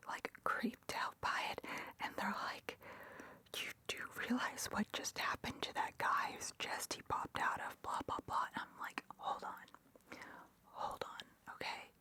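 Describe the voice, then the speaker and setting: A woman whispering in a chatty, opinionated ASMR ramble, breathy and geekily enthusiastic. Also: unhurried, pushed and bright. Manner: whispers throughout, breathy and unvoiced. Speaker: ASMR creator and host.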